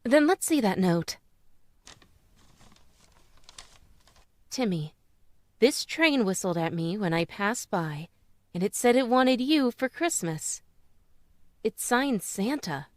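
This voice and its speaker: A woman's voice: mid-range voice, very animated, a little slow, no echo. A woman playing a sincere, tender mother in an old-time radio drama, speaking earnestly and emotionally. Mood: loving, surprised. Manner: earnest, emotional, hesitant restarts.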